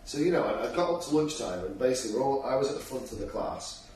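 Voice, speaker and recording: A man's voice: medium pitch, expressive, fast, darkish tone. A man hosting a live community talk show, chatting casually and sharing personal stories. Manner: conversational, shares personal stories. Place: community lounge at a railway station. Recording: very echoey room, distant mic, faint noise.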